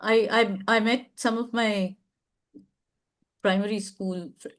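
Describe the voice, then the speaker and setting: A woman's voice: mid-range voice, steady tone, slow. A woman hosting an advice chat on a video call, speaking reflectively and affirming others, often restarting her sentences. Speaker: advice show host.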